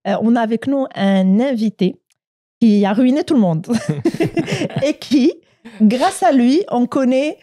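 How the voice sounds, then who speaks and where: Podcast host: woman with a mellow, slightly high voice, informal and keen, quick to interject. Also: expressive. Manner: eager, interjects with short prompts. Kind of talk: casual interview chat.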